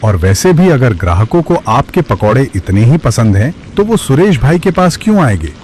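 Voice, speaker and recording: A man playing a loyal friend in an animated story who argues back with pointed questions. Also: medium pitch, normal speed, slight background noise, lightly acted. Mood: challenging. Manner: questioning, argumentative. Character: loyal young man standing up for his friend.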